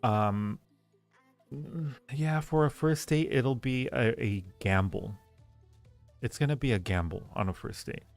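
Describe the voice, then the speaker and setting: A man hosting a casual livestream, musing aloud in fragments as he talks with viewers in a gravelly voice. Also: measured pace, faint music behind. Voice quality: low-pitched, gravelly creak. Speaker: livestream host reading viewer chat.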